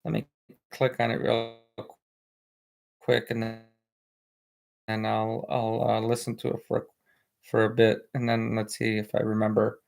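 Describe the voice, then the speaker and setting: Gravelly-voiced American man rambling casually. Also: on the low side, completely flat delivery, a little slow, pauses often. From United States. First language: Spanish. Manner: rambling, many fillers and repeated words. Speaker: live stream host. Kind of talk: casual live stream chat, reading viewer comments.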